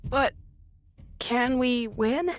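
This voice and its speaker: Earnest, high-voiced young monarch. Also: female voice, extremely slow. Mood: worried. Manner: polite, earnest. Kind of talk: video game cutscene acting.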